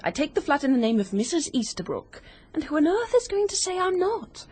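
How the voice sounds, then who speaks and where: An actress playing a bold, quick-witted young British woman in an old-fashioned radio mystery, brisk, frank and flippant. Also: high voice, sing-song, darkish tone, a little hiss or hum. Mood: confident, flippant. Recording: muffled vintage radio drama recording.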